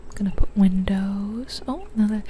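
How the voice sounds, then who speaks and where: A young woman whispering softly as she plays a building game, a little sniffly from a cold, musing to herself. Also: mid-range voice, normal speed, slight background noise. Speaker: ASMR gaming streamer. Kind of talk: whispered ASMR gameplay commentary.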